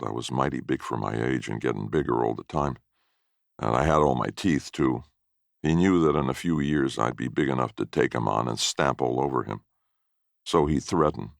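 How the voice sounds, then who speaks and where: Very deep, gravelly male storyteller. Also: dry recording, clean studio sound. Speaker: story narrator. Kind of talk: expressive first-person short story reading.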